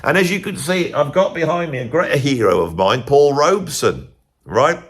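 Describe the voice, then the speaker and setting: A middle-aged man hosting a music video channel, chatting in a rambling, digressive way and speaking higher than his natural voice. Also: normal speed, slightly rough. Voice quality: lively, swooping pitch. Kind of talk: rambling casual monologue.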